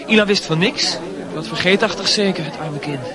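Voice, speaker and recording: Restrained, sardonic young male student played by a man, raised pitch, radio drama, busy crowd behind. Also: a little fast, close to an everyday voice. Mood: bitter, sardonic. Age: young adult. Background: crowd chatter.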